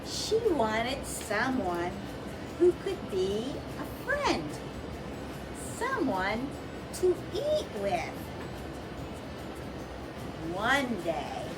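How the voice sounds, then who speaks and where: A woman's voice: fairly high pitch, poor audio. A woman reading aloud at a children's storytime as a librarian, in a sing-song manner with simple questions to young listeners.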